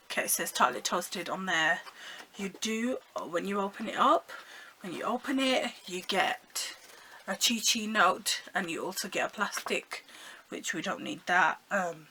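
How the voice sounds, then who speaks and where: A young woman, hoarse and croaky from a sore throat, chatting excitedly to her viewers while unboxing makeup. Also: mid-range voice, a little hiss or hum. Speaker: beauty vlogger. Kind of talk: casual makeup unboxing vlog.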